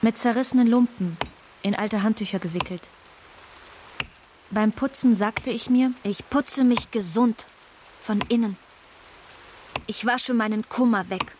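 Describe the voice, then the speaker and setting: A woman's voice: on the high side, a little slow, pauses often, darkish tone, close to an everyday voice. A woman playing a wounded, determined eleven-year-old daughter mourning her mother, in plain, childlike radio drama monologue. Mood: hurt, resolute. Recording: clearly noisy rain-like background.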